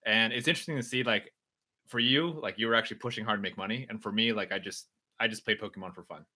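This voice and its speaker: A man's voice: lowish voice, fast talker, pushed and bright, slightly rough. A young man whose first language is Mandarin hosting a casual livestream Q&A, upbeat and teasing, reading viewer comments. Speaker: host. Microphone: video livestream.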